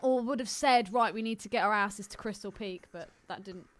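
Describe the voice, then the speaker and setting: A woman co-hosting a podcast in casual, irreverent chat, speaking in an expressive, blunt way. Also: mid-range voice, brisk. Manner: expressive and blunt, prone to exclaiming and giggling. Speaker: podcast co-host.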